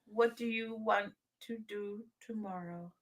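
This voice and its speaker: A woman co-hosting a live stream, chatting in a relaxed way and chiming in with short replies. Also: medium pitch, a little slow, low-quality recording. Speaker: co-host. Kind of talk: casual chat.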